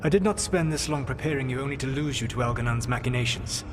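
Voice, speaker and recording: A man's voice: mid-range voice, close to an everyday voice. A man as a solemn ancient elven mage, background noise. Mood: somber. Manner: measured, formal, deliberate phrasing. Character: ancient, proud, regretful male elven rebel mage. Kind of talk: dramatic video game dialogue. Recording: clear background noise under the voice.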